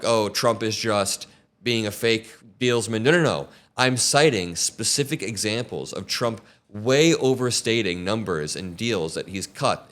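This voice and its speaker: A young man hosting political commentary, talking in an emphatic and sarcastic way, speeding up and slowing down. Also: lowish voice, fairly bright tone. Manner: emphatic, sarcastic, shifts between fast and slow.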